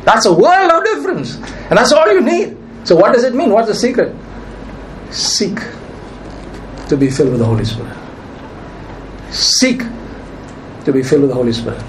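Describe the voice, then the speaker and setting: Male preacher, high-pitched, nearly hoarse, emphatic, repetitive, faint noise behind. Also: very animated, normal speed, pauses often, rough recording. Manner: emphatic preaching, repeats key phrases. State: nearly lost his voice. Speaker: pastor. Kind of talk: sermon.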